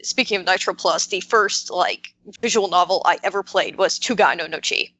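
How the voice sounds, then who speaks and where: A woman's voice: on the low side, moderate pace, sharp tone, dry recording, low-quality recording. Casual woman panelist, close dry sound. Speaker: podcast panelist. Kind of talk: casual roundtable chat.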